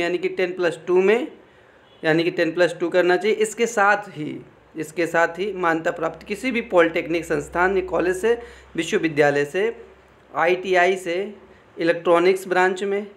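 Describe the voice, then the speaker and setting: A man giving an informal explainer, reading out official text and commenting, often repeating phrases and adding check-in tags. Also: high-pitched. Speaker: exam information presenter.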